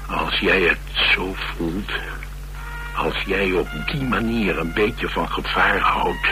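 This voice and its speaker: An actor playing a cynical, battle-hardened adventurer who craves danger, talking persuasively in in a radio drama. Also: male voice, deep voice, sharp tone, poor audio. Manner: persuasive, emphatic monologuing.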